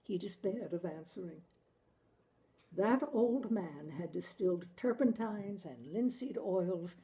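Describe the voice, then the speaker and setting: A woman narrating a short story in an expressive, deliberate audiobook style, savoring the phrasing. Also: lowish voice, poor audio. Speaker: literary reader, storyteller.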